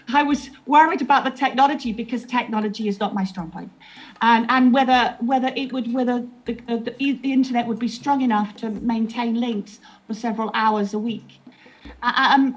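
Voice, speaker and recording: A woman's voice: fairly high pitch, poor audio. A woman in an interview, talking earnestly about training storytellers, often restarting her sentences. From England. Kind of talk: reflective interview answer.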